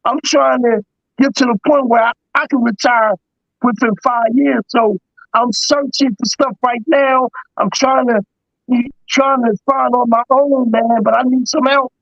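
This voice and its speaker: A man's voice: very high voice, fairly even intonation, a little slow, gravelly, fairly bright tone, dry recording, lo-fi sound. A man in his fifties asking questions on a video call, hesitant and restarting his sentences. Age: 50s. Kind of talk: casual live-stream chat.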